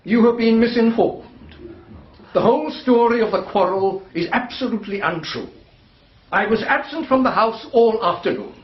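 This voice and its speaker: A man's voice: sits high, animated, normal speed, reverberant, highs cut off. A man playing a defensive, haughty British husband under suspicion in a radio drama, clipped and indignant. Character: stiff, defensive, haughty husband suspected of poisoning. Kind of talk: formal radio drama dialogue.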